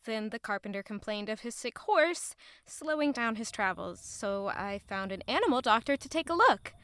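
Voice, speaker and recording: A woman's voice: a little high, animated, moderate pace, pushed and bright, clear, resonant tone, no room sound, studio quality. An actress playing a kind, earnest royal woman in a reflective audio drama. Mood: reflective, content.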